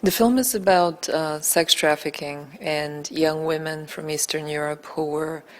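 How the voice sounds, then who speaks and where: A native Bulgarian woman answering interview questions as a documentary director, earnest, deliberate and emphatic. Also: deep voice, fairly even intonation, low-quality recording.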